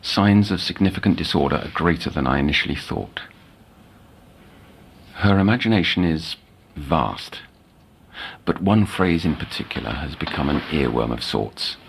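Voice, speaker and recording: A man's voice: rumbling low, animated, moderate pace, vocal fry, husky, exaggerated voice. A man playing an intense, formal and articulate counsellor at a psychiatric institute in an audio drama. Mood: measured, brooding. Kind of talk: audio drama, dictated case notes and dialogue.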